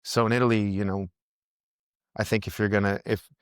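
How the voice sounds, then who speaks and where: A low-pitched man talks about music in a loose, unhurried podcast chat. He circles his point, restarting sentences as he thinks. The sound is dry and close. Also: little pitch movement, smooth, no echo. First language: English. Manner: rambling, thinks aloud with many restarts. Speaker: musician guest. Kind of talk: casual podcast conversation.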